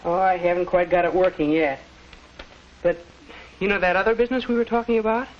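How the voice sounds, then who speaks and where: A young male actor playing a shy, earnest high school boy with an American accent, halting in a 1940s educational film. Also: fairly even intonation, lightly acted. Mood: earnest, thoughtful. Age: teenager. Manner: hesitant, halting. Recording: dull lo-fi 1940s film soundtrack.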